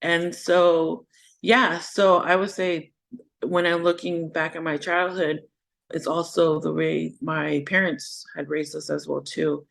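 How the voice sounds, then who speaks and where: A woman's voice: sits low, flat delivery, slow, vocal fry. A woman in her forties from Washington, speaking as a podcast guest and telling reflective stories in a casual interview. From United States, Washington. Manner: reflective storyteller.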